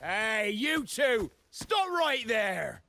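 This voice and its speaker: High, gravelly, theatrical pirate henchman. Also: very animated, sharp tone, slight background noise, heavily acted. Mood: menacing. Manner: dramatic, drawn-out, swooping delivery. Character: boisterous theatrical male pirate henchman, boastful storyteller. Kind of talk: video game character acting.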